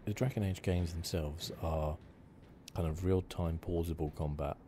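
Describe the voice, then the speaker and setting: A male gaming host with a very deep voice gives relaxed ASMR commentary. He talks in a hushed, conversational way. Game audio is clearly heard behind him. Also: normal speed. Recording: game sounds noisy behind voice. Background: video game audio.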